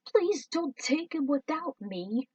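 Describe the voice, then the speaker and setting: A woman voicing a small, eager male alien sidekick who pleads in a high, whiny voice, recorded from a distance. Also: animated, slow, a little mellow, no echo, highs cut off. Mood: begging.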